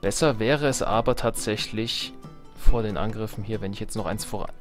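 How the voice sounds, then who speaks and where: A man's voice: lowish voice, normal speed. A man giving casual, jokey let's play commentary on a strategy game, muttering to himself and to the game's characters. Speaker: let's player, host. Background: game music and sounds.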